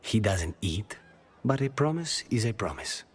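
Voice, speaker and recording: An actor playing a brooding, dry-witted assassin haunted by a demon in a video game, speaking in measured, wry, clipped phrases. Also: male voice, fairly low pitch, very animated, breathy edge, exaggerated voice.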